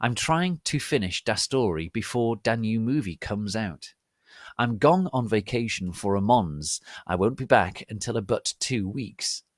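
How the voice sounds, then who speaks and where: Deep, theatrical man reading fiction. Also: expressive, measured pace, no echo, studio quality. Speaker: narrator, reader. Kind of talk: dramatic reading of fan fiction.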